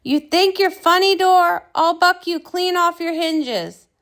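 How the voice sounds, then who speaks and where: Woman doing a brash soldier pony guy, high voice, boasty and excitable, cartoon comic style. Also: slow pace, heavily acted. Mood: defiant.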